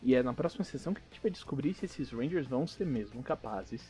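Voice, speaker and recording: A man's voice: medium pitch, lively intonation, fast talker, dark tone, faint music behind. A man with a Brazilian accent, casually narrating and hosting a tabletop role-playing podcast as game master.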